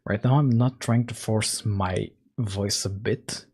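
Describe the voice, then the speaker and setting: A man hosting a game-dev livestream, hoarse and coughing while recovering, talking through his code casually with many fillers, close to the mic at night. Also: fairly even intonation, a little mellow. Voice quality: husky, deeper than usual. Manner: slow, thinking aloud with fillers. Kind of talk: casual coding livestream chat. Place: home room, at night. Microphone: close mic right in front.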